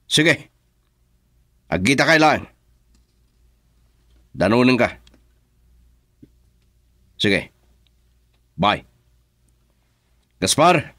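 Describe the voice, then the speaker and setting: An actor playing a gruff, domineering boss in an Ilocano radio drama, brusque and scolding. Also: male voice, medium pitch, big pitch swings, extremely slow, pauses often, sharp tone, no room sound, clean studio sound, heavily acted. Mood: brisk, bossy. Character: gruff, bossy male political operator barking at his driver.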